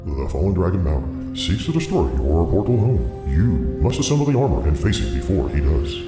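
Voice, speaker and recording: An actor playing a solemn, noble guardian spirit with grand, archaic, formal speech in a fantasy audio drama. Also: male voice, low voice, very animated, a little fast, reverberant, big-room sound, over music. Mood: solemn, grave. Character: solemn, noble celestial guardian spirit bearing a divine warning.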